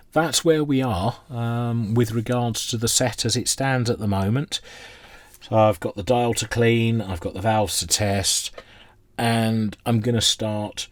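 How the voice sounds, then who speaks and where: A man's voice: deep voice, husky, no room sound. A middle-aged man chatting in a rambling, self-deprecating way as he gives a casual unscripted demonstration at his workbench. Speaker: hobbyist presenter restoring vintage radios. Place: indoor workbench.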